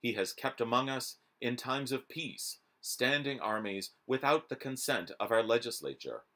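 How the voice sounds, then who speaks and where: A man in his forties reading a historical document aloud in a formal, measured, declamatory manner. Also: mid-range voice, expressive, sharp tone. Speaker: reader. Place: small room at home, indoors.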